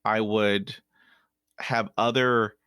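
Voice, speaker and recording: Slow-talking man who stutters, dry sound. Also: fairly low pitch, flat delivery, a bit bright, clean tone, no echo. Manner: stutters, with broken-off words and repeats. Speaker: podcast host. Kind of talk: casual interview talk.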